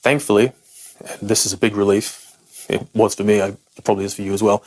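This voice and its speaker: A man with a lowish voice and a British accent talks straight to camera as a guitar teacher, breaking down picking technique. He is frank and emphatic and pokes fun at himself as he goes. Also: little pitch movement, quick pace, darkish tone. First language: English. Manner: frank, self-deprecating and emphatic.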